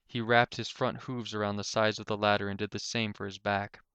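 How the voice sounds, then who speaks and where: A man's voice: fairly low pitch, flat delivery, brisk, a bit bright, clean tone, no room sound. A man reading a scripted story aloud as an amateur narrator.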